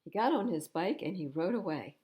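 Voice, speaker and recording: A woman's voice: on the low side, lively intonation, fairly quick, mellow. A woman reading a storybook aloud to children as a storytime host, with chatty asides. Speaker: library storytime reader.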